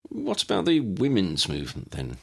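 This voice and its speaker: A man's voice: low-pitched, wildly swooping pitch, moderate pace, gravelly, fairly bright tone, slightly rough, heavily acted. A man voicing an inquisitive older man in an audiobook, formal and reflective. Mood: curious, reflective. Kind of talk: audiobook character dialogue.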